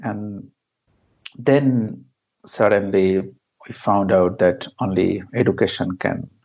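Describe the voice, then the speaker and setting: Slow gravelly man from Bangladesh. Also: deep voice, fairly even intonation, a little mellow, lo-fi sound. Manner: hesitant, many fillers and restarts, non-native phrasing. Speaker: interview guest, founder of an education project. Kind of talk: conversational interview answers.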